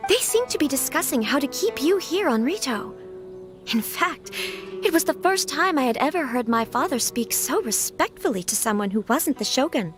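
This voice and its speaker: A woman's voice: high-pitched, lively intonation, moderate pace, music in the background. A woman playing a refined, resolute young noblewoman in a video game, speaking formally and with composure. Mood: earnest, confiding. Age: young adult.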